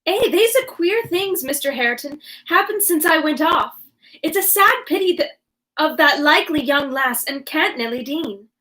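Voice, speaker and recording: A woman's voice: very high-pitched, lively intonation, normal speed, a bit bright, voice pushed up, low-quality recording. A woman voicing a chatty, gossipy country housekeeper in a broad rustic put-on dialect.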